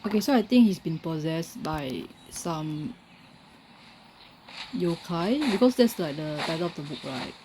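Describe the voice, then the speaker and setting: Girl gamer thinking out loud while playing, lowish voice, noisy mic with clicks. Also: expressive, measured pace. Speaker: game streamer. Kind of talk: casual gameplay commentary.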